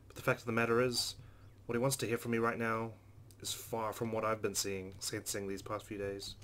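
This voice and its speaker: A man's voice: on the low side, completely flat delivery, brisk, fairly bright tone, a little hiss or hum. A man hosting a game playthrough, reading visual novel narration aloud. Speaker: let's play host.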